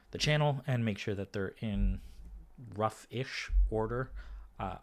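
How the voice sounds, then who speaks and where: A slightly hoarse man commentating a card game match on his own, casual and thinking aloud, with frequent fillers and restarts. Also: fairly low pitch. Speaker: game commentator and podcast host.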